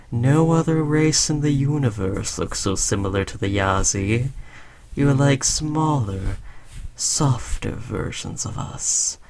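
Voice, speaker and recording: Man playing a slow-talking, smug alien overlord. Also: lowish voice, gravelly, darkish tone. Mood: patronizing fondness. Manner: slow, taunting, patronizing praise. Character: smug, condescending alien overlord conqueror, fond of a human servant. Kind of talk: scripted audio roleplay addressed to the listener.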